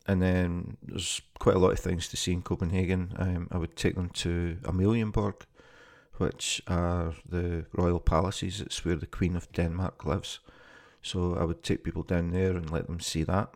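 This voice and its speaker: Scottish guy chatting through his first podcast intro, low voice, bit of fry on it. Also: a little mellow. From Scotland. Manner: plain, conversational, with frequent ums and small restarts. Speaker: podcast host. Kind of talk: casual spoken podcast introduction.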